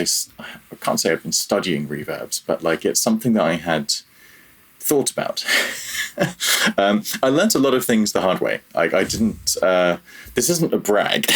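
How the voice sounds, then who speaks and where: A man talking reflectively and casually as an interview guest, halting and self-deprecating as he goes. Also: sits low, breathy edge. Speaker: podcast guest, freelance audio programmer.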